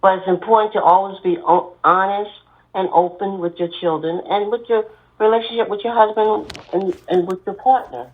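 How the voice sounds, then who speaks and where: A woman's voice: medium pitch, normal speed. A woman, a mother and church deacon, giving earnest advice as a podcast guest. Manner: earnest, advising, steady. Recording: tinny phone line.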